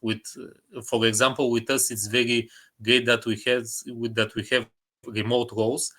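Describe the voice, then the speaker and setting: A man's voice: medium pitch, little pitch movement, moderate pace, fairly bright tone. A man with a Bulgarian accent chatting casually as an interview guest, often repeating short phrases for emphasis. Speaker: guest, indie filmmaker.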